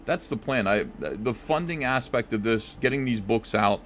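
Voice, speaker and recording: A man's voice: medium pitch, animated, normal speed, a little hiss or hum. A man, a comic creator, chatting casually with callers on a live show and thinking aloud with lots of fillers. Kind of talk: casual live call-in chat.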